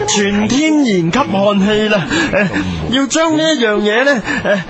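A man playing an excitable, boastful sixty-year-old farmer-inventor grandpa in a Hong Kong Cantonese comic radio play, rambling and joking over music. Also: high-pitched, a little slow, dull audio, over a noisy crowd. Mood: earnest, hurried. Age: 60s. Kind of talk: comic radio play acting, mock documentary interview.

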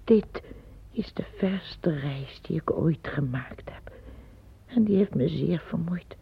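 Actress doing a tired old empress, breathy near-whisper, slow, lowish voice, tinny radio play sound. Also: female voice, expressive, no echo, heavily acted. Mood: weary. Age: older. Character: old weary empress, fearful and plain-spoken.

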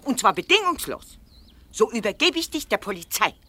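An actress playing an older, haughty aunt in a 1960s German radio play, commanding, accusing and unbending, with clipped delivery. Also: female voice, on the high side, sing-song, moderate pace, sharp tone, heavily acted. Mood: stern, threatening. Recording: noisy vintage radio play recording.

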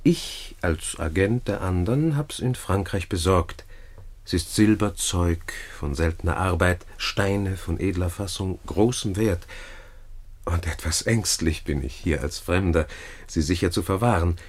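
Courtly, scheming Roman seducer, radio play, husky voice, slow florid delivery. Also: male voice, deep voice. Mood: courteous, persuasive. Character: smooth-tongued, scheming Roman gentleman, flattering seducer. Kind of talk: classical German radio play verse acting.

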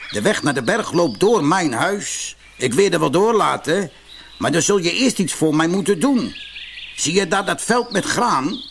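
Little old fairy-tale man, voiced by a male reader: curt, bossy, unfriendly, high-set and animated. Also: unhurried, creaky, a little hiss or hum, heavily acted. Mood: bargaining, bossy. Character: small unfriendly old man who sets hard tasks.

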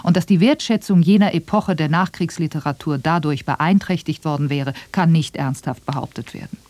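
A woman's voice: deep voice, moderate pace, darkish tone. A woman reading a scripted radio essay as a narrator.

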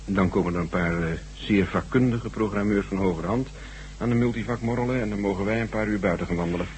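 An actor playing an obliging, principled chief computer programmer in a radio drama, measured, courteous and confiding. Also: male voice, on the low side, darkish tone, lightly acted. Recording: noisy, humming old radio recording.

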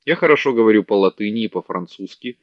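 A man's voice: fairly low pitch, animated, fast talker, no room sound, close to an everyday voice. A man reading an earnest young scholar. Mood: persuasive. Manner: earnest, persuasive. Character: young clever male scholar, eager. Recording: dull dry lo-fi audiobook recording.

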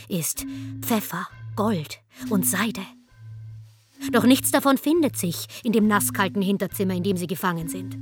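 A woman reading scripted dramatic storytelling narration in a measured way with emphatic pauses. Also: mid-range voice. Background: music.

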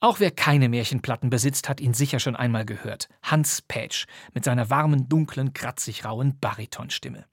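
Husky male podcast host, dry sound. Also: mid-range voice, moderate pace, few pauses, dry recording. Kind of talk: scripted presentation.

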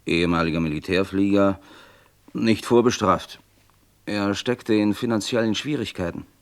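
A man's voice: fairly low pitch, normal speed, no echo, natural voice. A man playing a probing, businesslike police inspector in a German radio crime drama, questioning insistently in clipped phrases. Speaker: actor.

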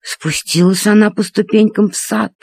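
A woman narrating a children's story expressively, in a deliberate, dramatic storytelling manner. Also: medium pitch, steady tone, a little mellow, slightly rough, no echo, clean studio sound. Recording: cassette transfer with music behind.

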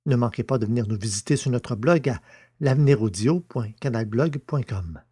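A man's voice: medium pitch, moderate pace, mellow, husky. A man reading scripted closing credits as the narrator of an audio drama podcast.

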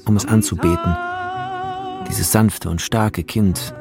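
An actor playing an intense filmmaker reading shot directions and reflective monologue in a radio drama. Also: male voice, lowish voice, steady tone, slow, over loud music. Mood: focused, descriptive.

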